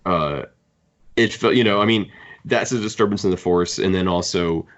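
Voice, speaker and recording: A man's voice: deep voice, moderate pace, fairly bright tone, muffled recording. A man co-hosting a podcast, giving critical opinions in casual book discussion. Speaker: podcast host.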